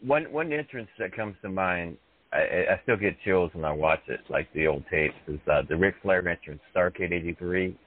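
A man's voice: sits low, moderate pace. A man co-hosting a casual chat, reminiscing with enthusiasm. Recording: tinny phone-like audio.

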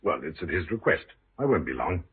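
An actor playing a dapper, evasive colonel in an old radio drama, suave and insistent. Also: male voice, low voice, sing-song, brisk, slightly rough, full character voice. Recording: tinny, hissy vintage radio recording.

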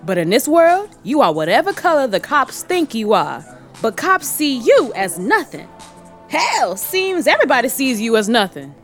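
A woman's voice: high voice, sing-song, moderate pace, faint music behind. A woman acting a brash, cheeky sneak thief in an audio comedy drama, with glib, teasing, slangy patter. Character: brash, cheeky sneak thief named Simon, sociable hustler.

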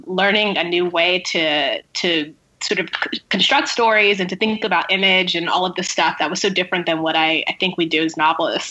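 A woman's voice: on the low side, bright, forceful tone, highs cut off. A woman speaking as a writer guest in a casual podcast interview, conversational and peppered with "like" and "sort of". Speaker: guest novelist and screenwriter.

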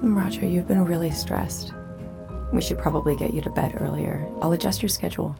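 Creaky, low-voiced woman tech operator. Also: a little fast, music in the background. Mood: sweet, attentive. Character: woman operator playing a sweet, attentive virtual assistant. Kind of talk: audio drama acting.

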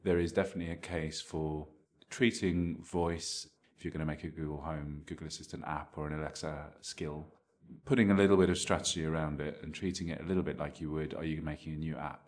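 A man giving conversational interview answers as an expert, explaining his opinions in a measured way. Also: a bass voice, fairly even intonation, a little mellow. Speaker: interviewed marketing technology expert.

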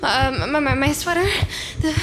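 A woman's voice: sits high, lively intonation, moderate pace, heavy background noise. An actress playing an anxious, evasive female office worker who hesitates and stammers defensively in an audio drama. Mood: panicked. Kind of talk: naturalistic audio drama acting.

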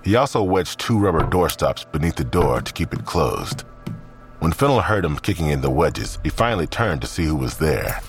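Super deep voiced guy narrating an audio drama, expressive, music playing behind him. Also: moderate pace.

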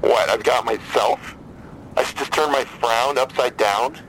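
A mid-pitched man played by an actor is a despairing, grieving father on a crisis line in an audio drama. He talks haltingly, weary and bitter, with faint noise behind him. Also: fairly bright tone, lightly acted, low-quality recording. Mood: bitter, skeptical. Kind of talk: naturalistic audio drama acting.

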